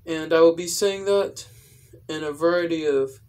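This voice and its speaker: A young adult man explaining things in a casual vlog style, with careful, halting phrasing, recorded indoors at home. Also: fairly high pitch, darkish tone. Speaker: video creator. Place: indoors, small room at home.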